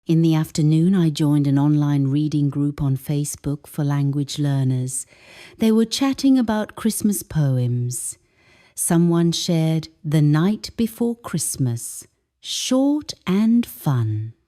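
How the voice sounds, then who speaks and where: A woman, an English teacher, calmly reading a first-person story aloud as scripted narration. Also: low-pitched, slow.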